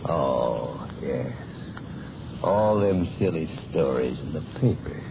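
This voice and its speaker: An actor playing a creepy old man who claims to be a ghost, in a slow, folksy, ominous drawl, in old radio horror style. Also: a bass voice, animated, full character voice. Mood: suspicious, sly. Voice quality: gravelly voice, dirty wheezing laugh. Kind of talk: 1930s-40s radio horror acting. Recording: muffled, noisy old radio recording.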